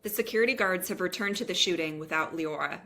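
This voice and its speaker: A woman's voice: lowish voice, fast talker, sharp tone. A woman reading screenplay stage directions as narrator in scripted table-read style.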